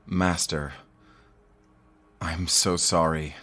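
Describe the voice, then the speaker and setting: A man's voice: low-pitched, flat delivery, drawn-out words, over loud music. A man playing a dutiful, heartbroken young lord and human hero, earnest and formal, in a fantasy audio drama. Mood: anguished.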